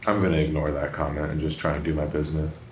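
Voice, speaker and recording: A man's voice: very deep voice, little pitch movement, fast talker. A man playing in a tabletop roleplaying game, speaking with a formal, mock-polite manner. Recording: echoey room, distant mic, noise.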